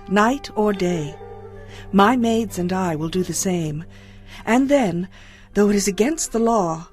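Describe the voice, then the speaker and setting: A woman's voice: medium pitch, close to an everyday voice. An actress playing a brave, solemn young queen in a dramatized audio Bible, speaking in an earnest, formal way.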